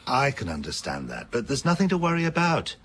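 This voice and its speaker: A man's voice: mid-range voice, wildly swooping pitch, a little fast, a bit bright, a little hiss or hum. An actor playing a polite, reassuring, slightly uneasy male research doctor in a radio drama. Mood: soothing.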